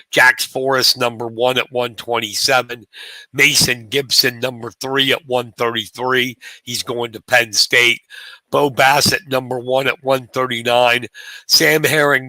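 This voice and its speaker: A hoarse man calling wrestling from a press box. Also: mid-range voice, sharp tone, no echo, low-quality recording. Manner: rapid rundown of rankings, conversational. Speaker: wrestling commentator. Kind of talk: live sports commentary. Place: press box at a sports venue.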